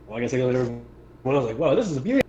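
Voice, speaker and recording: A man's voice: medium pitch, very animated, rapid-fire, warm tone, slight background noise. A man chatting casually as a player in a tabletop game. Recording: roomy, dull voice-call audio.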